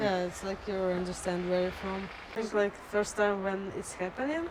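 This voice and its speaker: A woman's voice: medium pitch, brisk, background noise. A Ukrainian-accented woman, a street artist in a casual outdoor interview, speaking simple, hesitant English.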